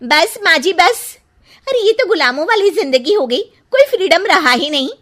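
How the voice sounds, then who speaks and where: A woman's voice: extremely high pitch, big pitch swings, moderate pace, a bit bright, heavily acted. A woman playing a sarcastic, aggrieved young daughter-in-law in a cartoon story, with a complaining manner. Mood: fed up, angry.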